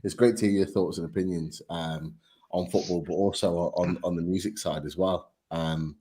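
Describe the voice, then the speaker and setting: A man's voice: low voice, fairly even intonation, moderate pace, warm tone. A man co-hosting a casual chat, hesitant and fumbling with fillers. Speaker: co-host.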